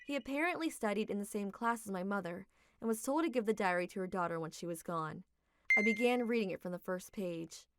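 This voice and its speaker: A woman's voice: medium pitch, a little fast, noisy background. A young adult woman narrating a manga dub in a reflective, emotional way.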